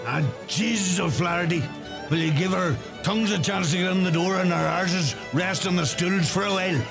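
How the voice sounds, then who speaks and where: A man playing a cheeky, gossipy pub regular with a Donegal Irish accent, halting and joking, in a comic radio play. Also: high-pitched, over music.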